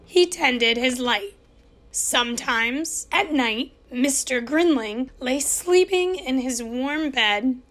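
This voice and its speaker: A woman reads a children's picture book aloud at story time. Her voice sits high, and she takes an unhurried pace. She stretches key words gently for young listeners. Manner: gentle, expressive storybook reading with slow emphasis. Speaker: storytime reader.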